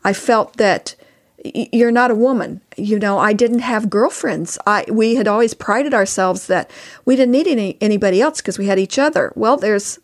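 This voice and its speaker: A woman's voice: mid-range voice, moderate pace. An older woman, past her mid-sixties, giving advice in an earnest, emphatic, conversational way as a podcast guest. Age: older, past sixty-four. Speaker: podcast guest, author and co-host spouse.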